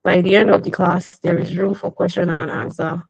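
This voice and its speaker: A woman's voice: on the low side, little pitch movement, normal speed, gravelly, a little mellow, breathy edge, no echo. A woman hosting an online class over a video call, chatty and welcoming, greeting attendees by name. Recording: muffled dry video call audio.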